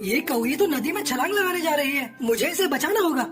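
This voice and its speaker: A woman plays a kindly married crow, a cartoon husband with a high voice. He sounds eager and earnest as he urges others on, with music behind. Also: expressive, fairly quick. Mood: concerned. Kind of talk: cartoon dubbing.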